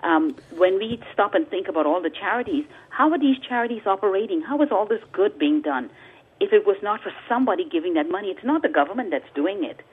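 A woman's voice: mid-range voice, expressive, quick pace, husky. A woman from the Bahamas, a guest author on a talk radio interview, speaking earnestly and repeating words for emphasis like a preacher. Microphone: phone call. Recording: tinny phone line.

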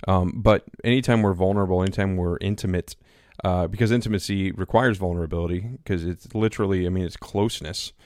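A man in his thirties with a very deep, loud voice that carries, speaking casually as a pastor on a podcast. He rambles, full of fillers and restarts. The sound is dry and close. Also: fairly even intonation, fast, few pauses, dry recording. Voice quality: loud, carrying voice. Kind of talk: casual conversation. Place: small home studio.

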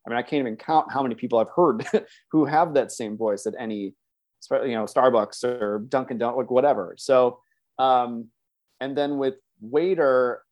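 American male voice actor as podcast guest: casual, self-deprecating, medium pitch, given to chuckling. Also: normal speed, a little mellow. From suburban Chicago, United States. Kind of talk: casual interview chat.

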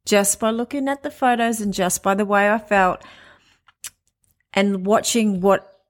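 A woman in her late forties speaks candidly into a podcast mic, her voice in the middle of the usual range. She talks in a loose, conversational flow, pausing and restarting as she goes. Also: medium pitch. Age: 40s. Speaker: podcast host. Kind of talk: personal monologue.

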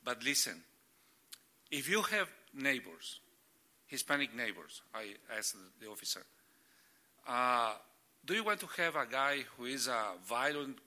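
A middle-aged man whose first language is Spanish, speaking halting English as a guest and sharing stories of church planting. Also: mid-range voice, big pitch swings, bright, forceful tone. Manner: halting, searching for English words.

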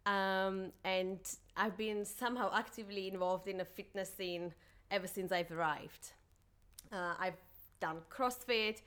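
A woman's voice: fairly low pitch, fairly bright tone. A woman in her thirties from Slovakia, chatting casually as a guest in an interview. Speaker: podcast guest.

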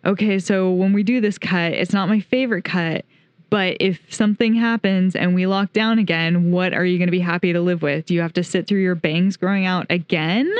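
A woman co-hosting a casual podcast, chatty and quick to laugh. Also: fairly low pitch, steady tone, clear, resonant tone, no echo, highs cut off. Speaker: podcast co-host. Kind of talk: casual chat.

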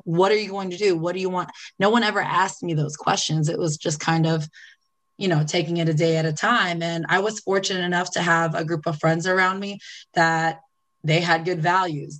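A woman with a fairly low voice speaks candidly as a podcast guest. She is reflective and unhurried, often pausing and restarting her thoughts. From Italy. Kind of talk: reflective personal interview.

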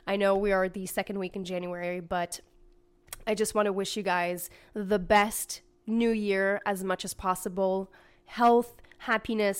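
A woman hosting a skincare podcast as an esthetician, her voice a little raspy from a recent cold, chatty and repeating key points with "okay?". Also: mid-range voice. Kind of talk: casual educational podcast talk.

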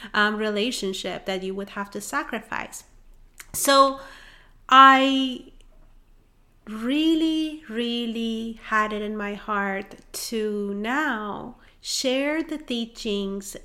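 Slow, earnest woman with a Persian accent. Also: medium pitch. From Iran. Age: 30s. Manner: earnest and encouraging, building to excited emphasis. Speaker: relationship coach. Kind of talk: heartfelt solo podcast monologue.

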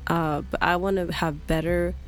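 A woman co-hosting a podcast, speaking English as a second language in halting, hesitant phrasing with lots of "like" and restarts. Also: lowish voice, fairly even intonation. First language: not English. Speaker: podcast co-host. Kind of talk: casual podcast chat. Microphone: podcast microphone.

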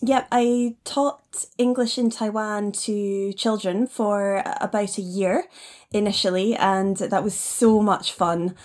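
A woman from the Highlands, an English teacher answering interview questions conversationally. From Scottish Highlands, Scotland. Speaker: podcast guest, English teacher.

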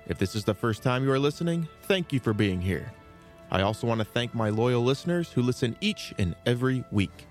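A man hosting a children's story podcast, reading a scripted introduction in a measured, presentational way. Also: mid-range voice, expressive, soft background music. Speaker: podcast host.

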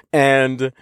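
A man in a casual podcast chat, telling stories with animated energy and breaking into laughter now and then. His voice sits at a middle pitch, and the recording is close and dry with no room sound. He restarts his sentences often and swears freely. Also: clean tone, no echo. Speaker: podcast co-host, voice teacher.

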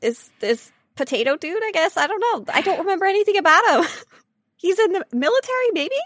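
A woman co-hosting a podcast, chatting casually about game characters in a silly, animated, teasing way. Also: very high voice, fairly quick, no echo, clean studio sound. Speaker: podcast co-host.

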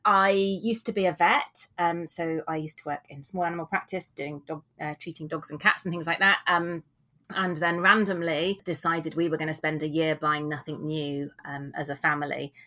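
A woman's voice: low voice, steady tone, normal speed, sharp tone. A British woman in her forties, chatting casually and self-deprecatingly as a guest on a podcast, recorded at home. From England. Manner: chatty, rambling, self-deprecating with frequent laughs. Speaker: guest author. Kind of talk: casual interview chat.

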